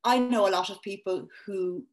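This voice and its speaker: A woman's voice: mid-range voice, moderate pace. An Irish woman filmmaker talking reflectively and fluently in an interview. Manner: fluent, reflective, with light laughs. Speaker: filmmaker, guest. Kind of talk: casual interview chat.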